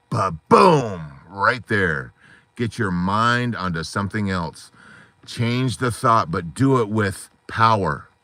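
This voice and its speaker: A man with a lowish, hoarse voice and a forceful, bright edge gives a fervent pep talk packed with metaphors. He speaks into a phone inside a parked car at night, and the sound is dry and close. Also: no echo. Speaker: support group host. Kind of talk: motivational live stream talk.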